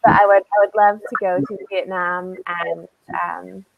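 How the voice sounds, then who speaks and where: A woman's voice: fairly low pitch, flat delivery, gravelly, no room sound, narrow, tinny audio. American woman tutoring on a video call. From United States. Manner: friendly, unhurried, laughs between sentences. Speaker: online English tutor. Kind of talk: casual conversation lesson.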